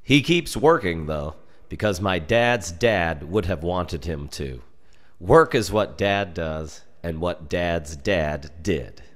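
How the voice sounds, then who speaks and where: Low-voiced American man telling a story. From United States. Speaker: storyteller reading a story aloud. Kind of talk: expressive scripted narration of a humorous dark tale.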